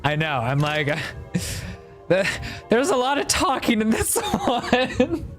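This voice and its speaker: A man livestreaming a game, chatting casually and reading dialogue aloud in a playful, expressive, joking way. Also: high-pitched, slow pace, soft background music.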